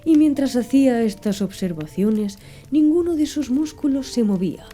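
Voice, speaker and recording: A woman reading an audiobook in a soft, slow, hushed ASMR style, with faint background music. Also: fairly high pitch, lively intonation. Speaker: audiobook narrator.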